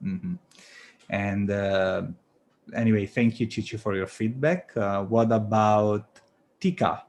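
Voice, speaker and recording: A man's voice: on the low side, slow, a little hiss or hum. A man with an Italian accent, teaching English on a video call and giving encouraging feedback with many fillers. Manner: relaxed, encouraging, full of fillers. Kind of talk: online class feedback round.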